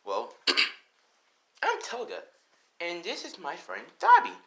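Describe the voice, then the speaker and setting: A man's voice: sits high, wildly swooping pitch, slow pace, creaky, fairly bright tone, voice pushed up, full character voice. A man voicing a playful, sadistic young female villain in a teasing sing-song way, in amateur fan-fiction storytelling.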